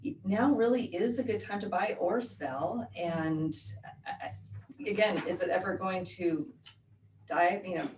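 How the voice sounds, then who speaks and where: A woman with a lowish voice explains things in a friendly, easygoing way as an interview guest. She is an escrow officer from Oregon. She sits away from the mic in an echoey room with faint background noise. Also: a little slow, a little mellow. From Oregon, USA. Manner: friendly, explanatory, laughs easily. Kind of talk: casual interview answers. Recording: roomy sound, mic at a distance, faint noise.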